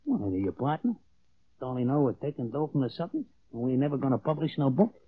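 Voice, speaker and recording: A man's voice: medium pitch, sing-song, fast, slightly rough. An actor playing a dim, boastful gangster henchman in 1940s radio style, with an American accent and slangy, ungrammatical talk, eager for approval. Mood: puzzled, dim. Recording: muffled tinny old radio recording.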